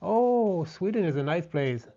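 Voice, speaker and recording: A man with a French Canadian accent, a native French speaker, chatting gently and casually as he hosts a live craft stream. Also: on the high side, lively intonation, measured pace. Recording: dull, lo-fi sound.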